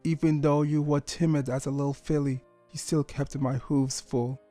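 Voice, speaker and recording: A man voicing a gentle, loving middle-aged father pony in an audio story, speaking in an earnest, tender, measured way. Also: a little high, level tone, soft background music, natural voice. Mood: fond, wistful.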